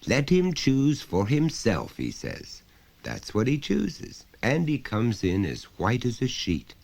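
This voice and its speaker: A fretful suburban mother from a short story, voiced by a male narrator. She fusses and exclaims with worried exasperation. The pitch sits well above his narration and moves around a lot. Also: huge pitch swings, slow pace, gravelly, a little mellow, heavily acted.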